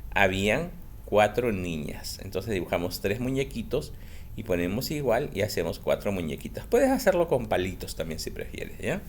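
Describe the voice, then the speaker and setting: Low-voiced guy teacher, slow patient math lesson for kids, asks stuff and answers it himself. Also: slight background noise. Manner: slow, patient explanations, asks and answers his own questions. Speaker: school teacher. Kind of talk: recorded math lesson for children.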